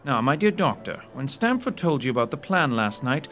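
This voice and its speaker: An actor in an audio drama playing a shrewd, courteous gentleman detective with a precise, theatrical, condescending manner. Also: medium pitch, sing-song, normal speed, faint music behind. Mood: explaining, triumphant.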